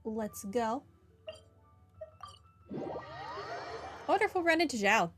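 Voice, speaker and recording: Slightly high-voiced woman doing casual gameplay commentary. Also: sing-song, slow, music in the background. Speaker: gaming playthrough host. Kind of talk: casual gameplay commentary, reading player lines aloud.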